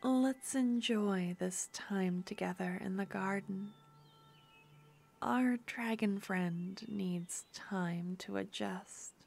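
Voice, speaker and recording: A woman's voice: mid-range voice, vocal fry, exaggerated voice. Woman playing a whispering loving necromancer. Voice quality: soft whispering. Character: tender young woman necromancer, loving girlfriend. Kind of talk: ASMR fantasy roleplay.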